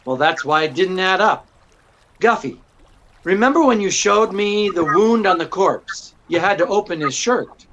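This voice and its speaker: An actor playing a witty, self-assured detective, explaining things in an unruffled, dryly courteous way in 1940s radio drama style. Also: male voice, sits high, lively intonation, measured pace, fairly bright tone, muffled recording. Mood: deducing. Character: witty, self-assured male amateur detective laying out his deduction.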